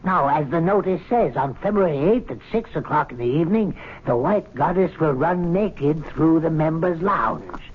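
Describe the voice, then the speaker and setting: An actor playing a sly, resentful older club servant in an old radio drama, grumbling with scornful glee. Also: male voice, a little high, expressive, moderate pace, hardly any pauses, slightly rough. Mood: sly, satisfied. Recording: thin, narrow-band radio drama recording.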